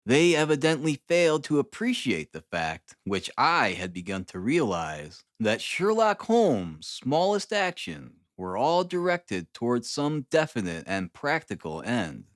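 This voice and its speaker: A man reading a classic detective novel aloud as an expressive, literary audiobook narrator. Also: medium pitch, unhurried, hardly any pauses, dry recording, clean studio sound.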